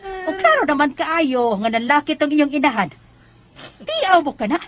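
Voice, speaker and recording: A woman's voice: high voice, sing-song, moderate pace, muffled recording, slight background noise. A woman playing a blunt, disapproving, gossipy grandmother in a radio drama, emphatic and with a Bisaya accent. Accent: Bisaya Filipino. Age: older.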